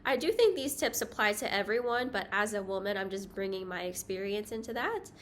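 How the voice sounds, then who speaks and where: A young woman with a higher-pitched voice gives safety tips in a casual vlog, chatty and self-deprecating, with light laughs. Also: a little fast, a little hiss or hum. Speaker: travel vlogger. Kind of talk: casual talking-head vlog.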